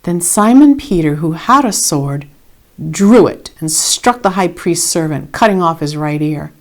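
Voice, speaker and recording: A woman reading a scripted Bible story in a measured, dramatic way with expressive emphasis. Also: on the low side. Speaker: reader of scripture.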